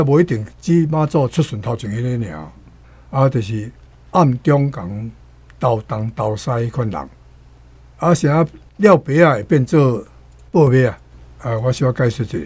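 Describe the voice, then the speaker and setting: Male guest commentator, Taiwanese accent, husky mid voice, dry sound, casual explanatory delivery. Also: mid-range voice, a little mellow, no room sound.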